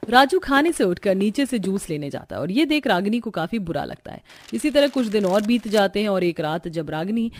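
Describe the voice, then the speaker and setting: A woman tells a scripted family cartoon story in a medium-pitched voice. She works as the narrator, with music playing behind her. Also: lively intonation, brisk, few pauses, darkish tone.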